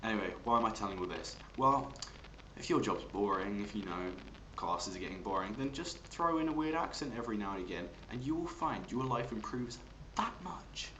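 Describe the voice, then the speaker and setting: A man's voice: on the low side, animated, fairly quick, fairly bright tone. A man chatting casually as he presents a voice demonstration vlog. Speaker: amateur voice actor. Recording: echoey room, faint background noise.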